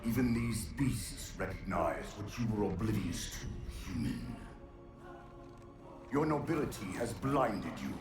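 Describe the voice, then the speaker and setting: An actor playing an ancient, vengeful male alien warlord, speaking in grandiose, contemptuous pronouncements with a deep, slow, menacing voice. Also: lively intonation, over music. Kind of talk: video game cutscene acting. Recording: cavernous echo, distant, under loud score.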